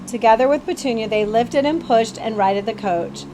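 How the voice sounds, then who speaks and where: A woman's voice: on the high side, normal speed, noisy background. A woman reading a children's story aloud expressively, as a librarian would, stumbling now and then. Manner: animated storytelling with occasional stumbles and asides.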